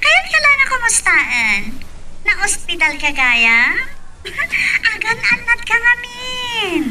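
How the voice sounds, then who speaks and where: A woman's voice: extremely high pitch, sing-song, slow, full character voice. A woman playing a spiteful, taunting woman in a radio love drama, on a noisy track. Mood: mocking, defiant.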